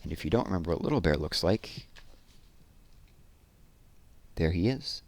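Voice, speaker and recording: Low-voiced man reading stories, very animated. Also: moderate pace, no echo. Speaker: storybook reader. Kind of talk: read-aloud storytelling for children.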